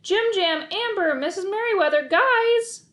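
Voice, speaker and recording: A woman voicing a flustered, excitable young dog walker in a children's storybook, pleading and calling out in exclamations. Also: very high voice, unhurried, pitched above the performer's own voice, heavily acted. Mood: panicked.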